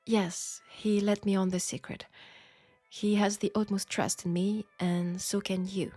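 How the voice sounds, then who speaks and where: A woman's voice: mid-range voice, little pitch movement, no room sound, soft background music, natural voice. Woman playing a proud French-accented seamstress, close and dry. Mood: discreet, formal. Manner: polite, formal, measured, quietly self-assured. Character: proud, outspoken, formal young seamstress in steampunk Paris. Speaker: audio roleplay performer. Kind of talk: scripted intimate audio roleplay drama.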